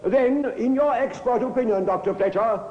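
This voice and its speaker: An actor playing a formal, probing defending counsel who speaks deliberately and rhetorically in old-time radio courtroom style. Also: male voice, very high voice. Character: eloquent, probing male defending counsel pleading to a jury. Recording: dull, echoey old radio recording, long reverb.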